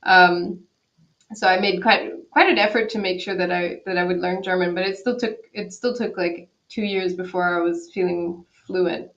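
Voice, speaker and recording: A woman's voice: on the low side, fairly even intonation, moderate pace, fairly bright tone, dull audio. A woman from Quebec, a cellist guest, answering thoughtfully in a casual interview over a video call, with many restarts. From Quebec, Canada. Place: home in Berlin.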